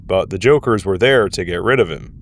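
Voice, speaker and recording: A man's voice: low voice, brisk. A man reading scripted story narration for an audio drama. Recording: faint ambient noise behind.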